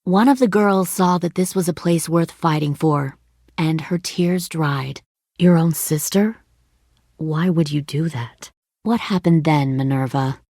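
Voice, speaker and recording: A hardened female survivor leader from a video game drama, middle-aged and menacing. Her voice sits low with a heavy gravelly creak, captured very dry and close. She talks like someone used to giving orders and making threats. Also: unhurried, darkish tone, no room sound. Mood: calm, bitter storytelling. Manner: cold, threatening, commanding.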